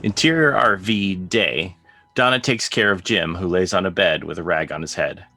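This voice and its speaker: A man's voice: low voice, normal speed, sharp tone. A man reading scripted drama narration as the narrator on a video call. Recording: video call under clear music.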